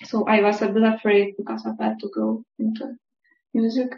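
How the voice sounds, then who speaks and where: A woman's voice: a little high, level tone, moderate pace, creaky. A young woman with a Lithuanian accent giving casual, hesitant interview answers in a dull, echoey recording. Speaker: interview guest, musician and scientist. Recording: dull, echoey room sound.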